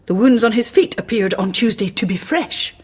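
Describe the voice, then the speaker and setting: An actress in a radio drama playing a cautious, earnest hospital colleague who speaks formally and precisely, with prim reproof. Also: female voice, medium pitch, expressive, normal speed, a little mellow, slightly rough. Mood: grave, urgent.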